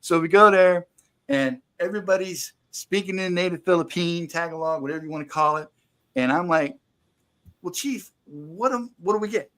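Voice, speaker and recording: A man's voice: sits high, moderate pace, a bit bright. A man co-hosting a casual chat, telling loose slangy stories and laughing along. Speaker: podcast co-host.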